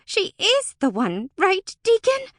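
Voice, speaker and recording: A woman narrator performs a little female brownie of fantasy fiction, her voice pushed up high. She sounds suspicious and scornful, with blunt, sharp delivery. The sound is dry. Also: huge pitch swings, slow, dry recording, heavily acted. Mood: accusing. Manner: sharp, accusing. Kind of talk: audiobook character acting.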